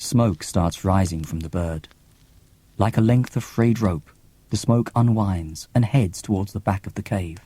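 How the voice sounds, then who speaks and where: Bright, deliberate male audiobook narrator. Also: deep voice. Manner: deliberate, theatrical. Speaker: author reading own audiobook. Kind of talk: dramatic scripted narration. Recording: cassette audiobook with long reverb.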